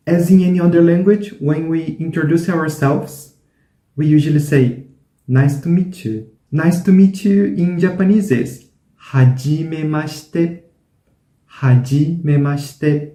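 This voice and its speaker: A man teaching a language lesson, saying each word slowly and repeating it several times. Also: on the high side, warm tone. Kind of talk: slow, repetitive language lesson. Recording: roomy echo.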